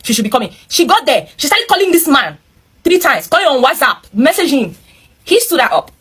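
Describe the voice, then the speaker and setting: A woman's voice: sits high, very animated, a little fast, fairly bright tone. A woman with a Nigerian accent telling a story as host, emphatic and opinionated, breaking in with commentary addressed straight to listeners. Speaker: host reading and reacting to a viewer's story. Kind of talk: animated storytelling with running commentary.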